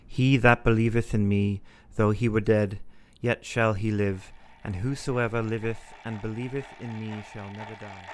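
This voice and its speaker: An actor playing a devoted, anguished young husband in a radio play, speaking tenderly and formally. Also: male voice, fairly low pitch, steady tone, moderate pace, hardly any pauses, slight background noise, lightly acted. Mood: grieving, solemn.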